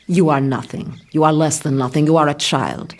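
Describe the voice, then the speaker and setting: A woman playing a stern martial arts master in a comic audio drama, husky, commanding and haughty. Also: low-pitched, moderate pace, darkish tone. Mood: cold, commanding.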